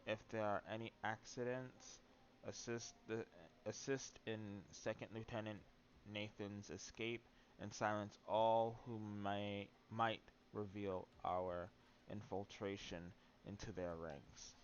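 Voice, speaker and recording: A man's voice: lowish voice, flat delivery, slow, lo-fi sound, a little hiss or hum. A man giving casual live commentary while playing a video game, sometimes reading text aloud. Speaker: game streamer.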